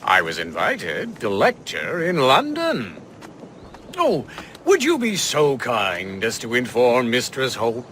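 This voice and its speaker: An actor playing a genial, chatty old inventor in a video game cutscene. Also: male voice, mid-range voice, huge pitch swings, slow, a bit bright, a little hiss or hum, full character voice. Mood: genial, pleased.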